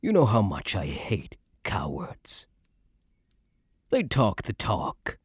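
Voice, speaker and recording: A man playing a cocky young mafia heir who is a doting but menacing boyfriend, in an intimate ASMR roleplay with slow, smug tough-guy slang. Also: low voice, breathy edge, dry recording, studio quality, exaggerated voice. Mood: smug, disdainful.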